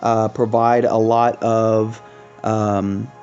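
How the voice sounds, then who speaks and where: A man hosting a gaming video with casual, chatty commentary, thinking aloud with trailing pauses. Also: on the low side, flat delivery, a little mellow, lo-fi sound, soft background music.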